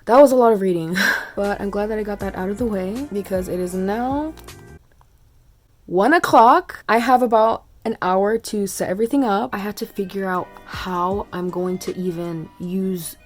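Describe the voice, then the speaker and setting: A woman's voice: mid-range voice, moderate pace, soft background music. Chatty young woman vlogging at home. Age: young adult. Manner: chatty, rambling with fillers. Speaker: student vlogger. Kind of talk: casual vlog chat. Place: home room under a loft bed. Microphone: phone camera on a couch.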